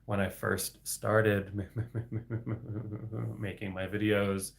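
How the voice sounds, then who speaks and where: A young man with a low, gravelly voice talks slowly on a casual solo livestream. He stutters heavily, repeating sounds and getting stuck on words, and laughs softly between phrases. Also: level tone. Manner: heavy stutter with repetitions and blocks, frequent soft laughs. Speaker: livestream host reading viewer questions.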